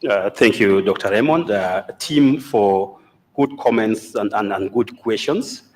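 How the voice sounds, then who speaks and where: A man from Kenya, a company representative, giving a formal speech at a health meeting, halting with many fillers. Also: fairly low pitch, darkish tone.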